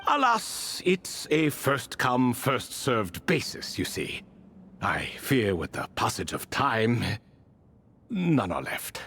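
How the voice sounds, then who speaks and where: An actor playing an ancient, wry and refined spirit who tells stories in a proud, wistful way in a video game. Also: male voice, medium pitch, huge pitch swings, slow pace, a bit bright, slight background noise, full character voice. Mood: wry, courtly. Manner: theatrical, refined, leisurely storytelling. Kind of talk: video game character dialogue.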